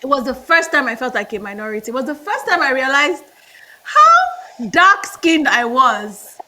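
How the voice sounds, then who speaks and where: A Nigerian woman in her thirties with a Nigerian accent, telling stories as a podcast guest, animated and laughing often. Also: high voice, normal speed. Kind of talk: casual interview storytelling.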